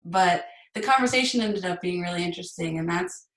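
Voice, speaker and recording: Young woman chatting on a call, kinda low voice, lots of restarts, echoey room. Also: fairly quick, a bit bright. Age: young adult. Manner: chatty, restarts and laughs while telling a story. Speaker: guest. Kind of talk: casual chat. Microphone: remote call recording.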